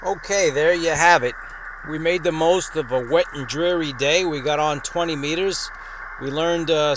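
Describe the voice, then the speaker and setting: Man, amateur radio host, casual commentary, deliberate delivery, higher-than-average pitch, very noisy static background. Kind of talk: casual radio commentary and on-air call-signs. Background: loud ham radio noise.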